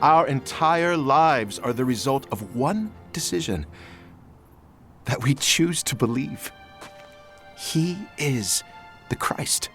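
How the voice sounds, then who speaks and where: A gentle, consoling John played by a man speaks in an audio drama. He talks slowly and softly, and his pitch rises and falls widely. Light music plays underneath. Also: expressive. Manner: soft, soothing, hushed.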